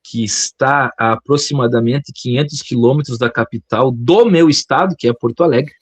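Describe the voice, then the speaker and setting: A man's voice: medium pitch, moderate pace, slightly rough, no echo. A Brazilian man from the south of Brazil, co-hosting a podcast in a playful, joking way and chuckling often. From Brazil, Rio Grande do Sul. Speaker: podcast co-host. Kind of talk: casual podcast chat.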